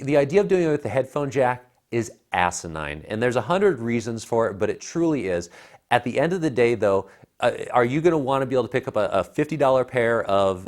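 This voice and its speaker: A man in his thirties giving a casual, emphatic and opinionated product review as a co-host. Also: lowish voice. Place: living room by a fireplace, indoors. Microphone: lapel mic.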